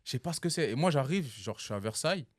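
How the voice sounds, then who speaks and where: A young man from Cameroon talks with friends in a relaxed, slangy way, slipping into lively storytelling and laughing at his own anecdotes. His voice sits at an ordinary pitch and sounds dry and close to the mic. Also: quick pace, no echo. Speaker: guest. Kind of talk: casual chat.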